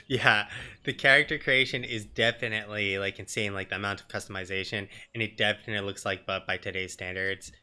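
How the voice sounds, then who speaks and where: A man's voice: fairly low pitch, steady tone, brisk, pushed and bright. A man giving casual, blunt let's play commentary with some swearing. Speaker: game streamer doing a let's play. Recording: game music behind the voice. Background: video game music.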